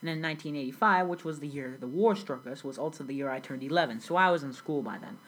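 A woman's voice: sits low, quick pace, fairly bright tone. A teenage girl playing a grown immigrant recalling a childhood in South Sudan, telling her story earnestly in a scripted school interview. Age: young teen. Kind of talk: school project role-play interview.

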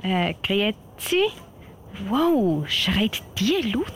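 A woman's voice: mid-range voice, big pitch swings, drawn-out words. A woman playing a young, earnest girl scout in an amateur radio play, chatting with a Swiss German accent. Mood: concerned, insistent. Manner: chatty, thinking aloud. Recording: slightly noisy amateur recording.

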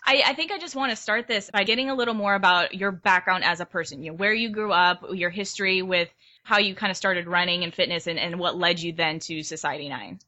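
A woman's voice: on the low side, brisk, bright, forceful tone. A woman co-host asking interview questions in an enthusiastic, informal way.

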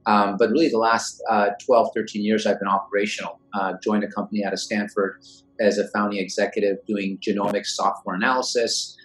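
A man in his forties, originally from Iran, answering interview questions in a measured, thoughtful way with many fillers. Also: fairly low pitch, little pitch movement, a bit bright, faint music behind, poor audio. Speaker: guest, venture investor.

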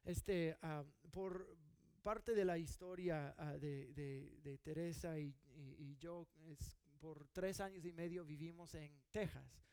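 Man, middle-aged, high voice, from California, earnest conversational sermon, dry close sound. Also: fairly even intonation, takes it slowly, warm tone, clean tone, dry recording. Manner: earnest, conversational, with frequent restarts and fillers. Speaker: pastor.

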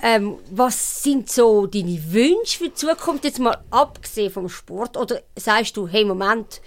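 Swiss German woman host with a creaky voice. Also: a little high, lively intonation, unhurried. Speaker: radio interview host. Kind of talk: conversational interview.

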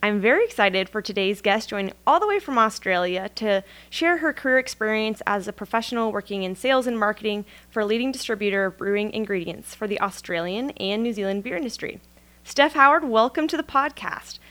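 A woman's voice: medium pitch, lively intonation, brisk, a bit bright. A woman hosting a podcast, reading a polite, formal welcome and then asking her guest questions. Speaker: podcast host. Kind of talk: scripted intro, then interviewing.

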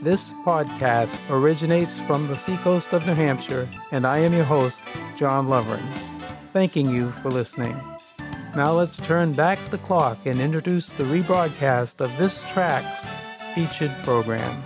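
A man's voice: a little high, unhurried. A man hosting a podcast, delivering a scripted introduction in an announcer's manner. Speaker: podcast host. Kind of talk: scripted introduction over music. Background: music.

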